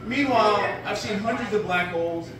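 A man in his forties works through a stand-up set, delivering deadpan one-liners with lots of "uh" pauses, his voice a touch high. He is caught from the back of a small bar, so the sound is distant and very echoey. A rowdy crowd laughs and chatters around him. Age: 40s. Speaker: stand-up comedian. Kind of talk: stand-up set at an open mic. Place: small dim bar stage with a live audience. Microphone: handheld mic, filmed from far back in the room. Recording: echoey bar room heard from far off. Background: audience laughter and bar crowd.

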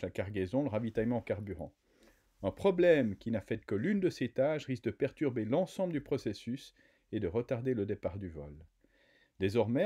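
A man reading a scripted news voice-over in a measured way. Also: mid-range voice, dark tone, slightly rough. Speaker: narrator.

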